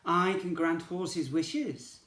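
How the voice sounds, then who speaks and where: A man's voice: on the high side, slow pace, a bit bright, reverberant. A man voicing a bold, magical female unicorn who grants wishes with sudden, ringing proclamations. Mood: grand.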